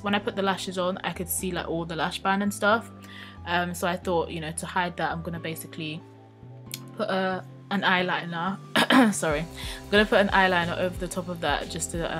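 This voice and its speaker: A woman talking through her makeup tutorial in a relaxed, chatty voice-over with a slightly croaky, just-woken-up sound. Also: on the low side. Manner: relaxed, chatty, with many ums and likes. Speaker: beauty vlogger.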